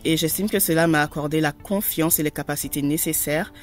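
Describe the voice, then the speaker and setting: A young adult woman, a former student, talking in a formal, measured way. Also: low-pitched, fairly even intonation, faint music behind. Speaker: former student giving a testimonial.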